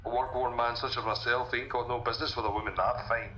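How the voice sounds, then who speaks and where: A man's voice: medium pitch, little pitch movement, fast talker, bright, forceful tone, lightly acted. A man playing a big, good-natured, boastful workman, chatting chummily on a game audio log. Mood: boastful, amused. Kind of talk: recorded audio log monologue. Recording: muffled, echoey, noisy audio log.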